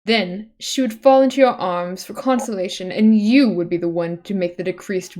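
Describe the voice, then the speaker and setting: A woman's voice: mid-range voice, moderate pace, a little mellow. A woman reading a webcomic aloud on a livestream in an informal way, breaking off for casual asides and self-corrections.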